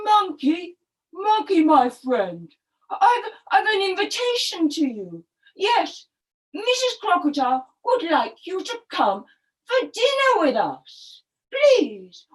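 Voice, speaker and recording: A woman as an anxious, high-pitched crocodile husband. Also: huge pitch swings, slow pace, exaggerated voice, low-quality recording. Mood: coaxing. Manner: hesitant, repeating, exclaiming. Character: soft-hearted, anxious, pleading male crocodile husband.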